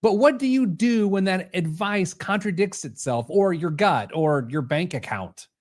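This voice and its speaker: A man presents a scripted solo podcast monologue with a highly varied, swooping intonation. His manner is conversational and wry, with a joking slant to the delivery. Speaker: podcast host. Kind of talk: scripted personal finance monologue.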